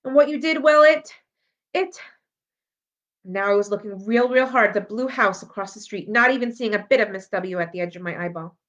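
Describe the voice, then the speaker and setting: A woman's voice: mid-range voice, fast talker, hardly any pauses, a bit bright. A woman reading a children's novel aloud expressively, as a library storyteller. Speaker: library read-aloud reader. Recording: dull lo-fi audio.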